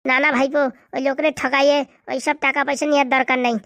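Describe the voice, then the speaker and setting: A woman's voice: high-pitched, level tone, quick pace, a bit bright, no room sound. A woman voicing a doting, simple-minded village uncle in a comedy cartoon, with a fussy, folksy, insistent way of talking. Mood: protective, insistent.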